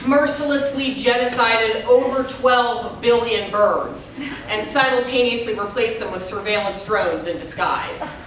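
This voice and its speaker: A woman's voice: on the high side, unhurried, a bit bright. A woman playing an earnest average American conspiracy believer on stage, speaking with emphatic, mock-serious conviction. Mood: solemnly convinced. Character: earnest average American who believes birds are drones. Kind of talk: comedic staged reader's theater. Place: stage at a speech competition. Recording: echoey noisy hall, distant mic, audience noise.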